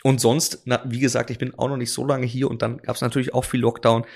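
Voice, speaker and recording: A man in casual interview chat, his voice at an ordinary middle pitch. He rambles through his answers, full of fillers and restarts. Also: little pitch movement, quick pace, creaky, a little mellow. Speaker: drinks brand ambassador, guest.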